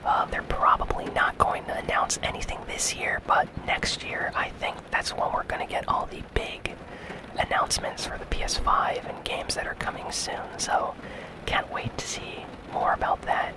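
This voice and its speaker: A host whispering casual gaming news in a soft, breathy, unhurried ASMR style. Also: low-quality recording.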